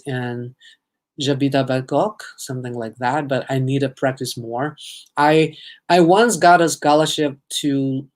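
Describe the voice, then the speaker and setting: A young man from Thailand with a Thai accent, hosting a casual live stream, chatting with viewers and reading their comments aloud. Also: medium pitch. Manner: chatty, reads out viewer comments, sprinkles in foreign phrases. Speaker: livestream host.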